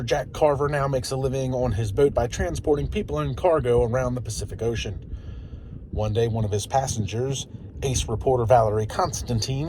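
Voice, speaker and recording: A man's voice: mid-range voice, darkish tone, a little hiss or hum. A man narrating film summaries, reading a scripted synopsis aloud.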